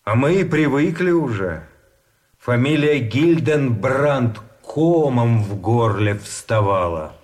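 An actor playing a pedantic, wordy gentleman prisoner of war in a radio play, reasoning in a measured way. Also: mid-range voice, expressive. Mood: wistful. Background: instrumental music.

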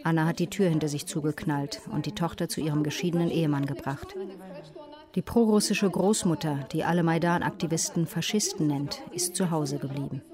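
A woman from Russia narrates a documentary in a calm, even voice-over. Her voice sits a little low. Crowd noise runs behind her. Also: a little fast.

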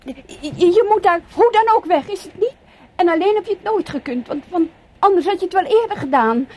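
A woman's voice: very high-pitched, lively intonation, a little fast, a little mellow. An actress playing a determined young girlfriend in a radio play, pleading and pushy, sometimes stumbling over repeated words. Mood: pleading, anxious. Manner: insistent, hesitant repetitions.

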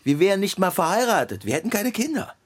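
An actor playing a skeptical, contrary middle-aged husband in a radio play, questioning and dryly grumbling. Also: male voice, sits high, very animated, fast, breathy edge, heavily acted.

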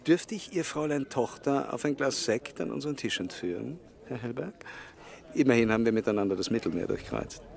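A man's voice: mid-range voice, animated, brisk, creaky. An actor playing a suave, self-assured German ex-military man in a radio drama, formal and courtly. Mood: smooth, courtly. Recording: studio radio drama, faint music underneath.

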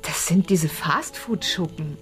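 A woman's voice: on the low side, takes it slowly. A woman playing a devoted, questioning and emotional girlfriend in a radio drama. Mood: incredulous. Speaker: actor. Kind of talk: German radio drama acting. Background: music.

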